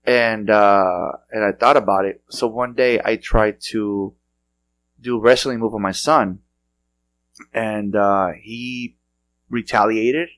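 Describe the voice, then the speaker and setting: A man's voice: lowish voice, steady tone, slow, a bit bright. A middle-aged man hosting a podcast, chatting loosely and rambling through stories with many restarts. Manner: loose rambling storytelling with frequent restarts and laughs. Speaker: podcast host. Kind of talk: casual chat.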